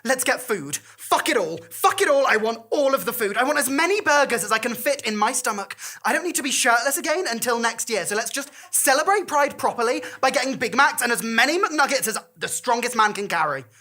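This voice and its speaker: Woman playing an excitable, campy young man, high-pitched. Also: fairly quick, hardly any pauses. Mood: desperate, ravenous. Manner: campy, gushing, exclamatory.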